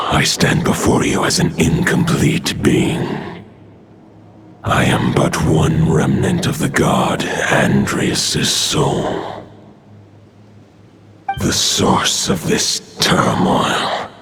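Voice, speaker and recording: Ancient, very deep gravelly wolf god. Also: male voice, over loud music, full character voice. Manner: grave, formal, slow. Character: ancient male wolf god, solemn guardian spirit. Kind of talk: video game voice acting.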